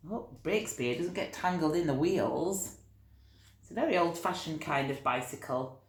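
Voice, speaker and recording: A woman reading a picture book aloud to young children, expressive, with chatty asides and gentle explanations. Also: deep voice, moderate pace. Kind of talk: animated read-aloud storytelling with chatty asides. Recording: roomy, echoey room sound.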